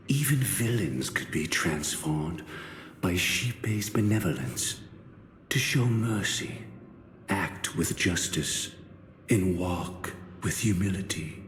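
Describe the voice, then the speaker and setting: Anime game spirit: calm, formal, solemn, low-pitched and slow, in a cavernous echo. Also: male voice. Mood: solemn, reflective. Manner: formal, polite, solemn, deliberate. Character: calm, courteous male spirit formed from memory. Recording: echoey long reverb, faint noise.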